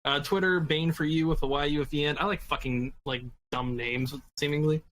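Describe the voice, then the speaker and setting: A man chatting casually as a podcast panelist, halting and repeating himself, with casual swearing. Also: on the high side, fairly even intonation, a bit bright, slight background noise. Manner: halting, repetitive, casually profane. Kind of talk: casual chat.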